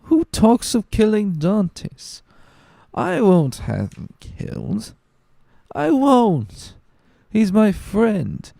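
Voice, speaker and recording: Casting: maudlin, loyal drunkard tailor, slurring slowly in a raised, swinging voice, classic storybook drama. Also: male voice, high voice, wildly swooping pitch, vocal fry, dark tone, no room sound, studio quality, voice pushed up, heavily acted. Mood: drunken protest. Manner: slurred, drawn-out drunken speech.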